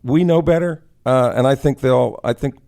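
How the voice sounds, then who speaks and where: A man co-hosting casual sports talk radio, animated and given to folksy exclamations. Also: medium pitch, moderate pace, creaky.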